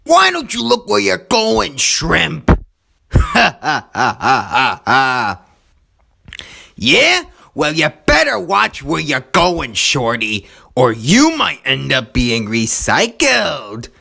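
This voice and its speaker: A bullying cartoon villain, gruff and gloating. His voice is extremely gravelly and raspy, swinging wildly as he taunts. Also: a little high, huge pitch swings, slow, bright, forceful tone, exaggerated voice. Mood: taunting, gloating.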